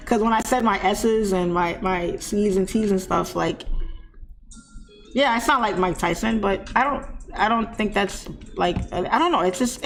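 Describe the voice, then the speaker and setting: Woman, lisp, slight vocal fry, chatty, laughs a lot, addresses viewers, faint background noise. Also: mid-range voice. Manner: chatty, laughs often, talks back to viewers. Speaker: live trading streamer. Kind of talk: casual live-stream chat.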